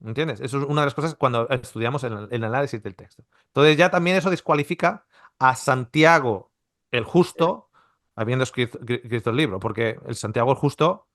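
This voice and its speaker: A man's voice: mid-range voice, fairly quick, a bit bright, no room sound, studio quality. A middle-aged man from Spain teaching a Bible seminar in a conversational, explanatory way, full of restarts and rhetorical questions. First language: Spanish. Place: Australia.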